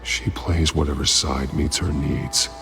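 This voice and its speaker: An actor playing a grim, stoic masked vigilante in a video game, terse and gravelly. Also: male voice, rumbling low, fairly even intonation, measured pace, full character voice. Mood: grim, guarded. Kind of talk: dramatic video game acting. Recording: music behind.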